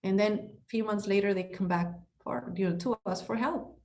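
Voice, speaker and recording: A woman's voice: mid-range voice, moderate pace, a little mellow. Woman on a podcast, middle-aged, talks with passion, keeps saying "you know" and restarting. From Ecuador. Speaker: podcast guest, real estate broker. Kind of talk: conversational interview.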